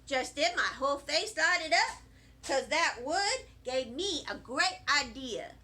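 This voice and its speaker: A woman reading a children's story aloud in an expressive narrating style. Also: sits high, takes it slowly. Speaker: storybook reader.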